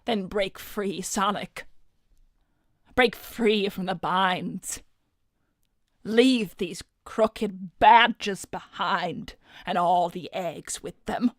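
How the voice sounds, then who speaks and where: Lady doing a flirty scheming cartoon villainess, coaxing and condescending, mid pitch, very dry sound. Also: very slow, no echo. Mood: seductive, coaxing. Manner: coaxing, theatrical, condescending, breathy asides. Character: seductive, manipulative female talent-scout villainess. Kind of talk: improvised in-character livestream roleplay.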